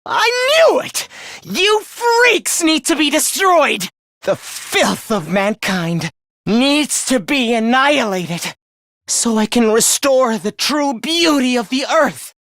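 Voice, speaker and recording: A man's voice: very high voice, animated, takes it slowly, no room sound, clean studio sound, heavily acted. A man playing a contemptuous, human-hating young villain in a video game, theatrical and scheming in his delivery. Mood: manic rage. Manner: theatrical, contemptuous, swinging from hushed menace to shrieking. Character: young male traitor, genocidal, gloating, human-hating schemer. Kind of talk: video game voice acting, villain confession monologue.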